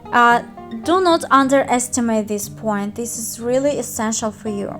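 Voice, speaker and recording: A woman's voice: a little high, animated, normal speed, a bit bright, faint music behind. A young woman, a native Russian speaker with a Russian accent, walking through a mobile game in a careful, hesitant tutorial voice full of fillers. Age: young adult. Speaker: game guide youtuber. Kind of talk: screen-recorded game tutorial.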